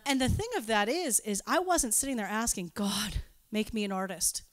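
A woman's voice: mid-range voice, animated, moderate pace. A woman giving an informal sermon with personal anecdotes, talking in a conversational, self-deprecating and giggly way. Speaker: preacher speaking at a church gathering.

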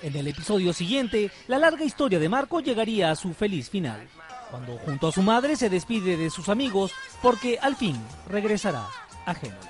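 A man's voice: high voice, very animated, normal speed, faint music behind. A man narrating a scripted television feature.

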